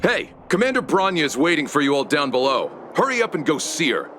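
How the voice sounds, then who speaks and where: High-pitched brusque soldier. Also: male voice, sing-song, a little fast, bright, forceful tone, a little hiss or hum. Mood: impatient. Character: brusque, bossy male soldier guard. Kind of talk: English video game voice acting.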